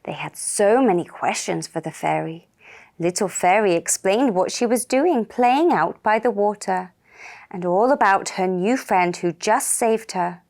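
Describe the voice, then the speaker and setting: A woman telling a children's puppet story in a gentle, deliberate and soothing manner. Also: mid-range voice, creaky. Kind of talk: slow narrated children's story.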